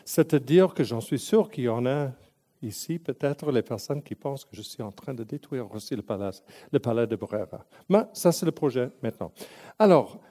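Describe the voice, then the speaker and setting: Dark-voiced English Canadian man lecturing. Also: mid-range voice, animated. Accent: English Canadian, with Italian influence. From English-speaking Canada. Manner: halting, searches for words, many restarts. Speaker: museum director, guest lecturer. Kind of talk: public lecture.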